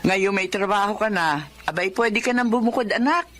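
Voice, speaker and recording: A woman's voice: medium pitch, moderate pace, loud music behind the voice, lightly acted. A woman with a Filipino accent acting a loving, worried mother in a radio drama. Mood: caring, worried. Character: loving, worrying mother of a grown daughter.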